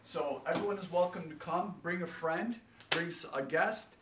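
A man's voice: a little high, normal speed. A middle-aged man delivering scripted church announcements to camera. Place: large indoor church foyer and halls. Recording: roomy, distant, noisy hall sound.

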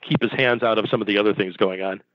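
A man's voice: on the low side, fairly even intonation, fast, dry recording, dull audio. A man appearing as a podcast guest, explaining things in a knowledgeable, matter-of-fact way. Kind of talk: casual podcast chat.

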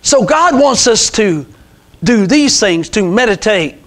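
A man's voice: high-pitched, lively intonation, takes it slowly, a bit bright. A man in his sixties preaching an informal, fervent sermon as a pastor, emphatic and repetitive, with rhetorical questions. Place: small church sanctuary, indoors. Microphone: clip-on lapel mic.

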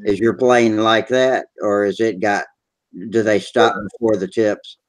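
Older guy hosting a webcam chat, lowish voice, easygoing. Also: fairly even intonation, measured pace. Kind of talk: casual video call chat. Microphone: webcam on a video call.

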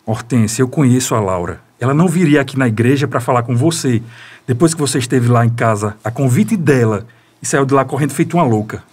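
A man's voice: medium pitch, animated, fast, darkish tone, slightly rough. A man playing an earnest, insistent, protective character with a Brazilian accent in a radio soap opera. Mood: earnest, worried.

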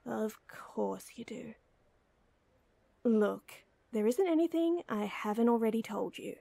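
A woman's voice: on the high side, animated, slow pace, gravelly, mellow, no echo, full character voice. A woman playing a flustered, lovestruck young woman in an intimate audio roleplay, hesitant and teasing. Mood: exasperated.

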